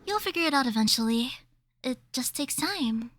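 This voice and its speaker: A woman playing a gentle, caring young woman in a scripted audio roleplay, speaking in a reassuring way. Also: sits high, expressive, normal speed. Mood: concerned. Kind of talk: scripted ASMR roleplay acting.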